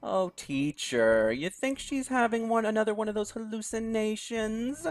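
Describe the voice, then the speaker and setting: A man's voice: sits high, lively intonation, normal speed, no room sound, soft background music, voice pushed up. A man voicing a cocky, mocking teenage schoolboy bully in a visual novel read-aloud. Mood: taunting. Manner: taunting, smug.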